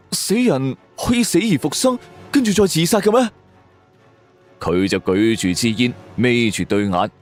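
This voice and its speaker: A man's voice: medium pitch, a little mellow. A man narrating a scripted suspense story in a dramatic storytelling manner.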